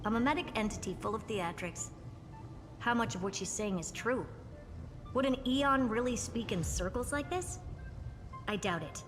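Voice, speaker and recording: An actress voicing a sharp, sardonic woman scientist in a video game, dry and incisive, with game music playing. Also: fairly high pitch, normal speed, pauses often, close to an everyday voice. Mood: wry. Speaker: game character. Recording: game audio with long reverb.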